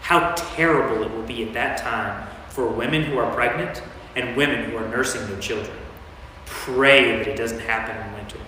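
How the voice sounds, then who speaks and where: A man's voice: medium pitch, moderate pace, sharp tone, natural voice. Commanding teacher in an echoing church, acted by a man. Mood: grave. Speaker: lay performer in a church staged reading. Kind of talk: staged scripture reading. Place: large reverberant church. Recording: echoey church, distant mic, faint noise.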